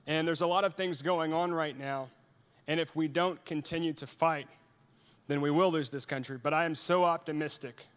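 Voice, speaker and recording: A man's voice: on the high side, a bit bright. A young man born in Norway, giving a rousing, earnest speech to a youth audience at an event, with rhetorical questions and frequent restarts. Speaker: event speaker. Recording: long reverb of a large hall.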